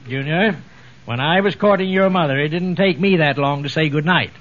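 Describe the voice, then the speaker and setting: A man's voice: on the high side, normal speed, fairly bright tone, natural voice. An American man acting an absent-minded, blustering middle-aged father in a 1940s radio comedy, hemming and hawing in a folksy way. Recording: old muffled 1940s radio recording, noisy.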